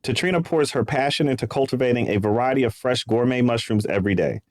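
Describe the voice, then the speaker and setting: A man's voice: mid-range voice, fast, no echo. A man hosting a podcast interview, upbeat and chatty, with loose casual phrasing. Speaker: podcast host.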